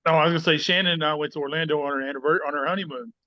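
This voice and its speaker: A man's voice: on the high side, fairly even intonation, very fast. A man co-hosting a podcast, telling a casual story in a chatty, joking way. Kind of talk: casual anecdote. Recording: dull lo-fi audio.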